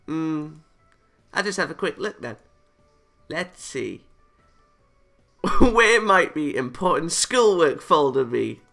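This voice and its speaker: Man voicing a coy, teasing anime schoolgirl, creaky. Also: fairly high pitch, very animated, slow pace, pauses often, pushed and bright, soft background music, voice pushed up, exaggerated voice. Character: teasing, mischievous teenage girl in an anime romance.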